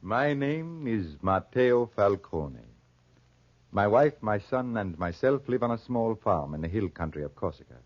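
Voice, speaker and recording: Muffled old radio drama recording of a proud, grave farmer father. His low voice swings expressively and carries a husky edge as he tells his story with deliberate weight. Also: big pitch swings, no room sound. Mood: grave narration. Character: proud, honor-bound Corsican farmer father, narrating his tragedy. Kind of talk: 1940s radio drama acting.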